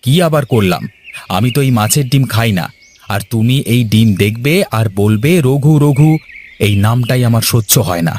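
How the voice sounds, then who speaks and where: A man's voice: medium pitch, normal speed, darkish tone, a little hiss or hum, lightly acted. A man voicing a hot-headed, resentful younger brother from a village in a cartoon. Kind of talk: Bengali cartoon dialogue.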